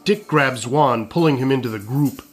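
A man's voice: medium pitch, normal speed. A man narrating a comedy script, reading out the stage directions.

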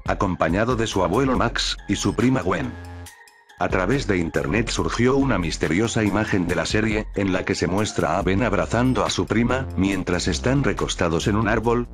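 A man narrates from a script in a fairly low voice. Faint music plays behind him as he presents a countdown list. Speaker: narrator of a top list video. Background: background music.

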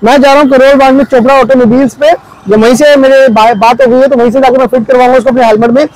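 Man, thirties, very high voice, rapid delivery, explanatory vlog review, English tech terms mixed in. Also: steady tone, a little mellow, rough recording. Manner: explanatory, mixes in English technical words. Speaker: motorcycle gear vlogger. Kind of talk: product review talk to camera. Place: outdoors on a busy market street.

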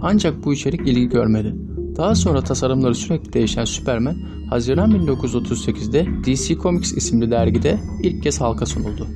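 A man narrates from a script. His delivery is formal and measured. Music plays clearly underneath. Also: mid-range voice, animated. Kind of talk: scripted narration of trivia video. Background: background music.

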